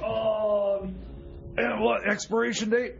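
A man playing a bumbling, flustered radio sidekick in improvised comedy banter, halting and stammering as he fumbles along. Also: sits high, level tone, gravelly, soft background music, full character voice. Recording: dull, lo-fi sound with noise.

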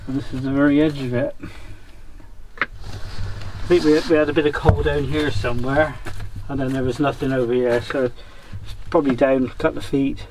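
A man's voice: medium pitch, steady tone, normal speed, a little mellow. A man explaining things casually to a visitor, like a miner showing someone round. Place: small underground coal mine tunnel. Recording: noisy on-location camera audio.

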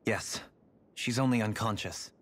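An actor playing a guilt-ridden, gentlemanly young noble with formal, measured, grave diction in a dramatic video game. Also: male voice, lowish voice. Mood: grave, remorseful.

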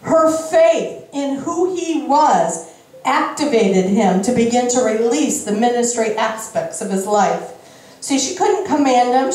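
A middle-aged woman preacher giving a sermon, explaining scripture in deliberate, emphatic short phrases into a handheld microphone on an indoor church stage. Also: a little high, a little mellow. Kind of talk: sermon, Bible reading with interjected explanation. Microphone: handheld microphone at a lectern. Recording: very echoey room, slightly distant sound.

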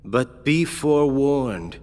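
A man's voice: mid-range voice, lively intonation, takes it slowly. A man voicing a smug, cryptic villain who taunts and speaks in riddles. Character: smug, cryptic adult man of a dark order. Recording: loud game music behind.

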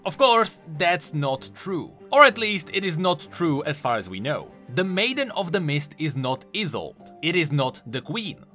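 A man's voice: a little high, sing-song, unhurried, hardly any pauses, sharp tone. A man giving enthusiastic, emphatic explanatory commentary on video game lore. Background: faint music.

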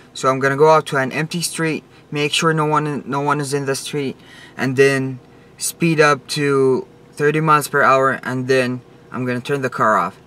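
A man's voice: medium pitch, pushed and bright. A young man hosting a car vlog, chatting step by step while he drives, recorded on a dashboard camera. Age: young adult. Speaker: car channel host. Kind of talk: casual vlog narration. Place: inside a car on the street. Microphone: camera mounted on the dashboard. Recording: road noise in a moving car. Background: car and road noise.

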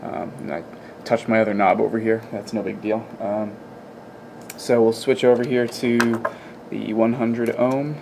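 Flat-toned man explaining, noisy room. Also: fairly low pitch, measured pace, creaky. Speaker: presenter of an electronics demonstration. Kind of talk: informal hands-on technical explanation. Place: indoor electronics workbench. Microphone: camera microphone, behind the camera.

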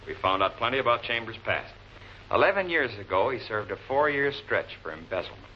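A man's voice: medium pitch, sing-song, brisk, lightly acted. A man playing a confident police lieutenant in a 1940s television crime drama, blunt, clipped and matter-of-fact. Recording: muffled, noisy old film soundtrack.

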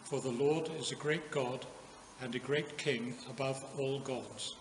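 Elderly English man, solemn lectern reader, unhurried and deliberate, mid-pitched, in a reverberant church. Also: steady tone. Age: 70s. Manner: measured, deliberate phrasing. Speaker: scripture reader at a church service. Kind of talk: solemn scripture reading. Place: inside a stone village church. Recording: echoey stone church, audible room noise.

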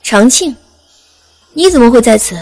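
A woman voicing a sly, bold woman immortal in disguise, with husky, half-whispered musing. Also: on the high side, animated, normal speed, a little mellow, pitched above the performer's own voice. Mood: surprised.

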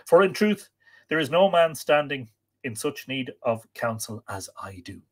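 A man's voice: medium pitch, big pitch swings, unhurried, husky, pitched above the performer's own voice. A man voicing an earnest, melancholy and stubborn adventurer in a storybook tale, speaking in a declamatory way. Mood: astonished, emphatic.

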